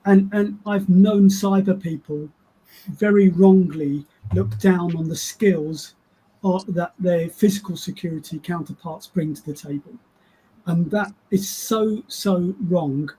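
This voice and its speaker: A man's voice: sits high, unhurried, dark tone, husky, rough recording. A man speaking as a panelist, hesitant and full of restarts and repeated words. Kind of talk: panel discussion.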